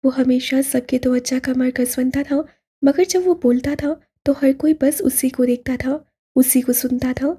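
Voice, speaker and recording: A woman narrating a romantic novel as a scripted audio story, in a soft and very breathy voice. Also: sits high, steady tone, a little slow.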